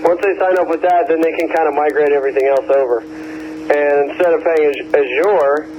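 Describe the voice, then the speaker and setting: A man's voice: on the high side, fairly even intonation, moderate pace, darkish tone. A man chatting casually about technical things over two-way radio, laid-back and slangy as a ham operator. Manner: laid-back slangy talk, often saying "man" and "you know". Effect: two-way radio transmission. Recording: noisy ham radio repeater audio, hum.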